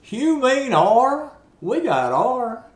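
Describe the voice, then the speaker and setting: An older man voicing a big, slow-witted young gas station attendant with a slow, puzzled Appalachian drawl. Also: very high voice, very animated, pitched above the performer's own voice, full character voice. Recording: roomy echo.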